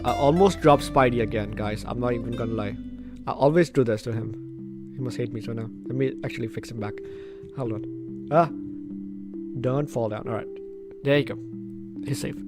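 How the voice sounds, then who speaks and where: Gaming host for a visual novel playthrough: mid-range voice, lively and talkative, guitar score underneath. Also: medium pitch, moderate pace, long pauses. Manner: animated, chatty reactions to the game. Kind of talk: casual playthrough commentary mixed with reading game text aloud. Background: guitar music from the game.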